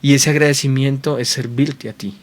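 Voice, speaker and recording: Man, preacher, earnest sermon delivery, medium pitch, rhetorical check-in questions. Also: fairly even intonation, moderate pace. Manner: repeats and restarts phrases while building points. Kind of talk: sermon to viewers at home.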